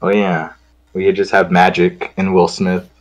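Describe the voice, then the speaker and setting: A man with a fairly low voice takes part in a game read-through. He talks in a casual, joking, rambling way, as if among friends. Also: flat delivery, normal speed. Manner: playful, rambling. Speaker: voice player in group read-through. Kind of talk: casual joking chat and role reading.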